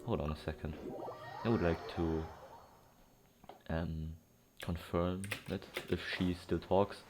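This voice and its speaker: A man's voice: low voice, steady tone, slow, noisy background. A man giving casual let's play commentary, rambling, thinking aloud and correcting himself as he goes. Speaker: gaming streamer. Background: game music.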